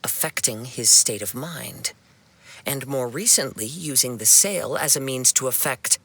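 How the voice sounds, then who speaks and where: A man playing a calm, perceptive young wandering swordsman in a video game, speaking in a measured, formal and earnest way. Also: medium pitch, slightly rough, heavily acted. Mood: earnest, explaining. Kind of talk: video game cutscene acting. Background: game music.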